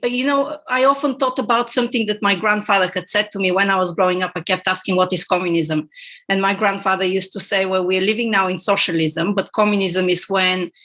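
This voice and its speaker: A middle-aged woman originally from Bulgaria speaks as a podcast guest. She talks in a reflective, unhurried way, often pausing on fillers and restarting her sentences. Her pitch sits in an ordinary middle range. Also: fairly even intonation, a bit bright. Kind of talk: conversational interview.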